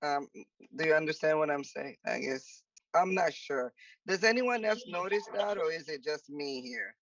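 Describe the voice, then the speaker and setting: A woman's voice: fairly low pitch, animated, moderate pace, vocal fry, dry recording. A woman from the Democratic Republic of Congo, a French native speaker, hosting an online panel in an upbeat, chatty way with many fillers. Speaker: host. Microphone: video call. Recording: muffled distant video call audio.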